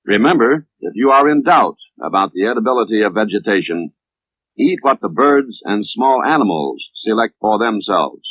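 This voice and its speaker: An American man narrating a scripted 1950s instructional training film in a measured, didactic, formal manner, on an old film soundtrack. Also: on the low side, no echo. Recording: tinny, band-limited 1950s film soundtrack.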